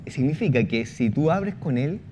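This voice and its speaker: A man explaining his craft as a panel guest, halting and repeating words as he goes. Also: on the high side, animated, warm tone. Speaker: panel guest, audio fiction creator. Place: live conference panel. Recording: muffled event sound with faint noise.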